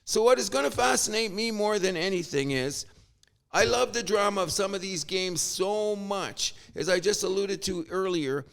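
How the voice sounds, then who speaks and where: A man's voice: high voice, normal speed, gravelly, fairly bright tone. A middle-aged man from Canada, ranting with exasperation and casual swearing as a sports podcast host. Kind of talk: opinionated sports rant.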